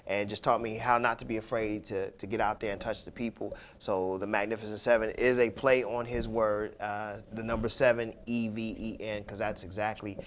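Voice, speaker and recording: A man hosting a radio show in casual talk, loose and full of "you know" fillers as he gives shout-outs. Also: fairly low pitch, flat delivery, normal speed, few pauses, slight background noise. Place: radio studio.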